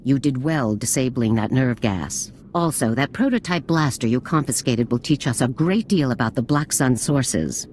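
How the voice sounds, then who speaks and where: An actress playing a stern female military general who gives brisk, authoritative orders. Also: very deep voice, darkish tone, a little hiss or hum. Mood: commanding. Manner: brisk, authoritative, scornful of bureaucrats.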